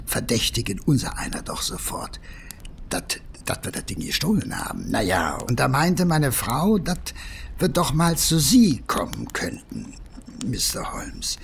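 Nervous working class berlin messenger, rambles a lot, swingy pitch, bit of crackle in the voice, audio drama. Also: male voice, gravelly, full character voice. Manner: rambling, hesitant, colloquial. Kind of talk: German audio drama acting.

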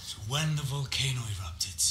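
A man playing a wary survivor in a video game, telling of horrors in a grave, deliberate way. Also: medium pitch, expressive, fairly bright tone, a little hiss or hum, lightly acted. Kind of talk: dramatic video game acting. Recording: echoing space, faint music.

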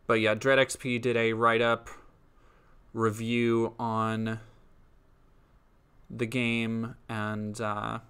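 A man's voice: mid-range voice, monotone, very slow, pauses often, fairly bright tone, clear, resonant tone. Brief: young male streamer, drowsy and a bit sniffly, meandering casual chat full of restarts. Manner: rambling, trails off with frequent ums and restarts. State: a little sniffly and sleepy. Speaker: streamer and indie game developer.

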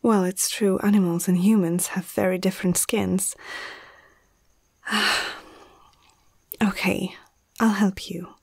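A woman acting out a young female vet in an ASMR fantasy roleplay. She speaks slowly and hesitantly in a husky, breathy voice that often slips toward a whisper. She is nervous yet caring and firm, heard close up with a dry sound. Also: medium pitch, fairly even intonation, a little mellow, dry recording, exaggerated voice. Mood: reluctant, reassuring. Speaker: amateur ASMR roleplay performer.

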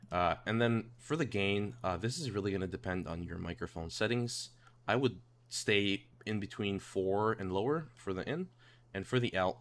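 A man narrating a casual software tutorial in a hesitant, rambling way, repeating himself. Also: low voice. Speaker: tutorial presenter. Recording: home mic with clear background noise.

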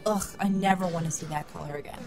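A woman's voice: fairly low pitch, fairly even intonation, quick pace, a little mellow, soft background music, natural voice. A woman playing a blunt, sardonic rebel military officer in a science fiction audio drama.

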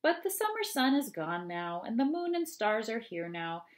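A woman in her thirties reading children's poems aloud in an expressive, deliberate way, with chatty asides. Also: a little high. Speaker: read-aloud host. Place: small home room, indoors.

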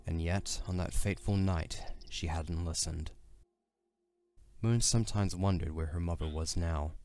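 A man giving a measured, serious narration of a scripted dramatic story. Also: deep voice, fairly even intonation, a little mellow.

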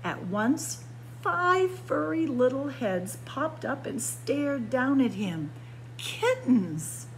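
Librarian lady doing story time, really animated, takes her time, stresses words dramatically, bit of hum behind her. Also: fairly high pitch, rough recording. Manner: expressive, dramatic emphasis on key words. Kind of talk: picture-book read-aloud.

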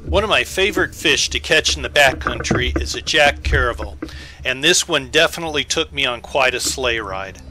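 A man's voice: medium pitch, lively intonation, moderate pace, over music, rough recording. A man giving scripted voice-over narration as an angler recounting his fishing trip.

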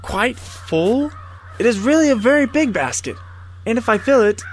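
An actor playing a meek, trusting, eager-to-please gardener in an audio storybook drama, earnest and apologetic. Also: male voice, extremely high pitch, lively intonation, normal speed, a little hiss or hum. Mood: hesitant, apologetic. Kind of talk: audio theatre storybook acting.

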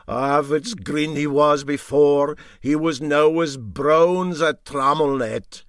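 A man voicing an indignant old Highland boat skipper telling tall tales emphatically and drolly in a Scottish accent. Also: fairly even intonation, takes it slowly, dry recording, studio quality. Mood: droll, emphatic.

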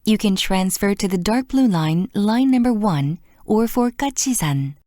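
A woman giving scripted transfer announcements for a subway, formal and deliberate. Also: medium pitch, lively intonation, warm tone, a little hiss or hum.

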